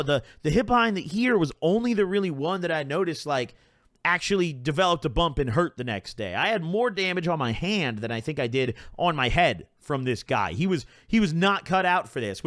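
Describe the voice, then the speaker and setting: A man's voice: on the high side, no room sound, clean studio sound. A young man hosting his channel, telling a story in a casual, profane, rambling way, packed with "like" and "bro". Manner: animated, puts on voices when quoting people. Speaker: channel host.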